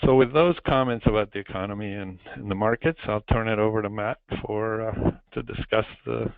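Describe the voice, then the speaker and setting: A man giving an explanatory financial presentation as an investment chair, speaking haltingly and repeating phrases over a conference call phone line. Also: fairly low pitch, steady tone, vocal fry, no echo. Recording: muffled narrowband phone line.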